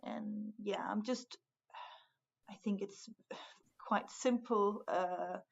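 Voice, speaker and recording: A young woman from Denmark with a Danish accent, speaking English haltingly in a casual conversation, full of fillers and restarts. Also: mid-range voice, vocal fry, dull audio. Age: young adult. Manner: hesitant, many fillers and restarts. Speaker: art student, guest.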